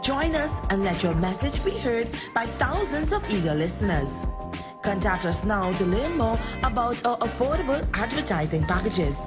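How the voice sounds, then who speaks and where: A woman reading a scripted radio advertisement in a polished, upbeat sales voice. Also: mid-range voice. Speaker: ad announcer.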